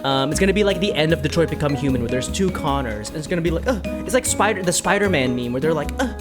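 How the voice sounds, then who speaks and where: An animated game streamer talks casually to viewers. The pitch swings widely as they ramble and circle back over their words, with music playing underneath. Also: fast talker. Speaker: streamer reacting to a video game playthrough. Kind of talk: casual livestream chat, commentary.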